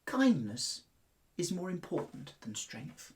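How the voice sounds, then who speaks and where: A middle-aged man with a British accent, speaking as a headmaster to children in an expressive, carefully phrased storytelling style. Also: on the high side, takes it slowly. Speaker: school headmaster reading to pupils.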